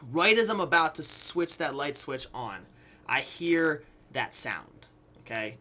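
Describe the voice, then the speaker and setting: A young adult man telling a personal story casually to his viewers, acting out quotes, pausing for effect and often checking that listeners follow. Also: on the high side, big pitch swings, sharp tone. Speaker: YouTube storyteller.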